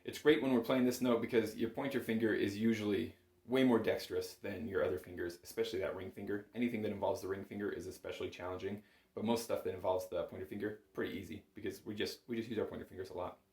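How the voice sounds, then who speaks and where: Low-voiced man teaching in an echoey room. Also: steady tone, quick pace, vocal fry, darkish tone. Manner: informal, encouraging, patient. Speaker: bagpipe chanter instructor. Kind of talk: casual step-by-step music lesson. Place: small indoor music room at home. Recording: roomy, echoing home room sound.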